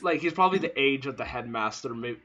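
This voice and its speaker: A man's voice: mid-range voice, animated, fast, dull audio, noisy background. A man running a tabletop game as game master, explaining rules and narrating at length. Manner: explanatory, patient, talks through interruptions.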